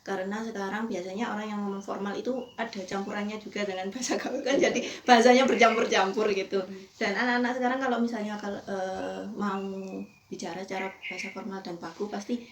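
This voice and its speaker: A woman's voice: mid-range voice, steady tone, fast talker, reverberant, slight background noise. Female student, 20s, conversational interviewee. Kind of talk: casual interview answers.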